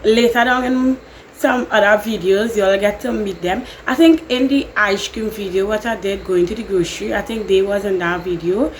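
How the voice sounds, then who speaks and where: A woman's voice: medium pitch, normal speed, rough recording. Young Trinidadian woman, casual rambling vlogger with a mid-range voice, slight background hiss. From Trinidad and Tobago. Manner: chatty, rambling, laughs often. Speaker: YouTuber. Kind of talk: casual Q&A vlog.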